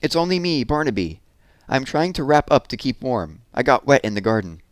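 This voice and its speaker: A man's voice: medium pitch, big pitch swings, fairly quick, no room sound, pitched above the performer's own voice, natural voice. A man voicing a soggy, sorry-for-himself male teddy bear in a storybook, plaintive and earnest. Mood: reassuring, muffled-up.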